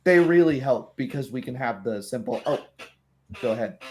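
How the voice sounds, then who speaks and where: A man's voice: medium pitch, lively intonation, moderate pace, a little hiss or hum. A man speaking casually as the game master and host of a roleplaying stream, chatting about the game.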